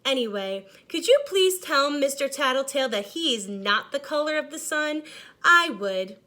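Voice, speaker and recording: Smug orange crayon, played by a woman, high voice, snippy and scornful, storybook read-aloud. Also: animated, normal speed, sharp tone.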